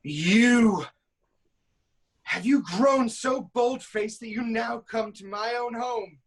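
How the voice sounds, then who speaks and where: A man's voice: extremely high pitch, takes it slowly, exaggerated voice. A man playing an angry, suspicious ancient Greek king in a declamatory, accusing stage tragedy reading.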